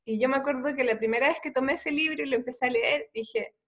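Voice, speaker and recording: A woman's voice: sits high, fast talker, a bit bright. Chilean woman doctor telling her story. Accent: Chilean Spanish. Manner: earnest, frequent "eh" fillers. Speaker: medical doctor guest. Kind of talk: conversational storytelling interview.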